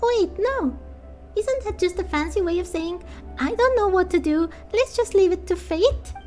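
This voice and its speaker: Lady doing a tiny cheeky game companion, very high, sceptical n exasperated, worrier. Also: lively intonation, normal speed, darkish tone, soft background music.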